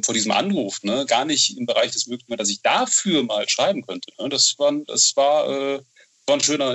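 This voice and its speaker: A man talking casually as an interview guest, telling stories haltingly, searching for words and adding frequent tag questions. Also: mid-range voice, fairly bright tone. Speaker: novelist and TV writer, interview guest. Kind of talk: casual interview chat, storytelling.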